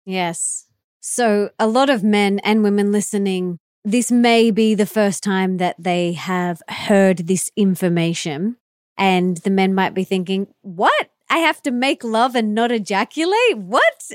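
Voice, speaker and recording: A woman's voice: mid-range voice, a little slow, no room sound, studio quality. A woman hosting a podcast interview, speaking fluently and expressively in a conversational way. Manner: fluent, expressive, voices listeners' questions.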